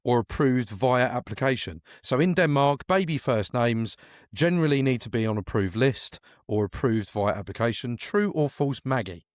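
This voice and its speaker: Lively, teasing male quiz host. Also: medium pitch, normal speed, no room sound, studio quality. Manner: playful, teasing, repeats questions. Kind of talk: casual quiz hosting.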